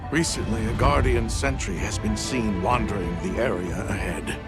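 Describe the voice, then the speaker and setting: Actor as caring, sober male guide for game cinematic. Slightly low register, measured, instructive, over loud score. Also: close to an everyday voice. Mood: serious, cautioning. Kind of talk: cinematic video game dialogue. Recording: loud music under the voice. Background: loud music.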